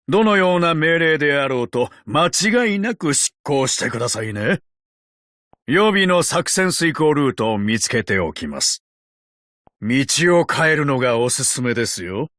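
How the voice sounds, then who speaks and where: A man voicing a courteous, ancient tin man agent in a video game, calm and sardonic, with a polite, measured, quietly teasing delivery. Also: medium pitch, no echo, studio quality. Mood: courteous, composed. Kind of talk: Japanese video game character voice lines.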